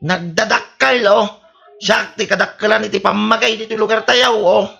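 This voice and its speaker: A man playing a boastful, bullying young man in an Ilocano radio comedy drama, with an Ilocano accent and a loud, swaggering way of talking. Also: sits high, steady tone, moderate pace, vocal fry, exaggerated voice. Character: boastful bullying young man, big-bodied town braggart.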